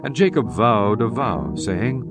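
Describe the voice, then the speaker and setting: A man narrating scripture in a measured, reverent manner. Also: lowish voice, lively intonation. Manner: measured, reverent, archaic diction. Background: guitar music.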